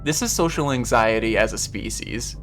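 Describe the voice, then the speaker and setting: A gaming video host with a mid-pitched voice talks casually through a playthrough. The delivery is expressive, full of exclamations and reactions. Game music plays clearly behind the voice. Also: normal speed. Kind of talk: casual playthrough commentary, reading game text aloud. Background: game background music.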